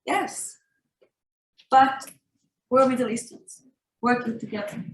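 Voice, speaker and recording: A woman's voice: on the high side, fairly even intonation, slow pace. A woman born in Israel, an activist answering questions on a workshop panel in an insistent, emphatic way. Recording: echoey room, faint noise.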